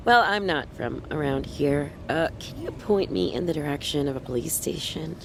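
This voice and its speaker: A woman's voice: sits low, expressive, normal speed, creaky, noisy background. A woman playing a troubled, sardonic young woman in a noir radio drama, guarded and wry in her delivery.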